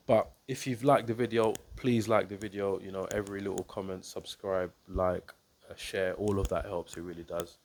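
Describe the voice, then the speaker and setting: A man's voice: sits low, slight background noise. A man with a blocked-up nose from a cold, giving a casual boxing breakdown to camera as a coach and YouTuber, thinking aloud with ums and restarts. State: congested with a cold.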